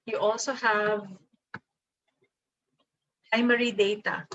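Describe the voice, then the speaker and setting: Woman, Filipino accent, lecturer, code-switching, mid pitch, dull video call sound. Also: level tone, slow pace, fairly bright tone, slight background noise. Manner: mixes English with Tagalog, thinking aloud with fillers. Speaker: workshop lecturer. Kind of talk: online seminar lecture.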